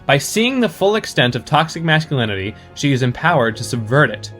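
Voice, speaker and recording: A man with a somewhat high voice delivers prepared, essay-like commentary. He sounds articulate and analytical, with music playing behind him. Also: sing-song, fairly quick, a bit bright. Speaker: listener sending in recorded thoughts.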